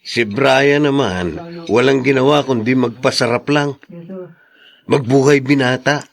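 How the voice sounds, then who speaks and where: A man's voice: mid-range voice, measured pace, vocal fry. A man playing a caring, protective elder brother in a Tagalog radio drama, speaking with earnest concern.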